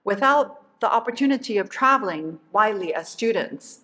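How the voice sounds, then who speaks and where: A woman's voice: mid-range voice, animated, moderate pace, pushed and bright. A woman hosting a lecture who reads a formal prepared introduction and slips into fillers when she goes off script. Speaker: faculty host introducing a speaker. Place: lecture hall.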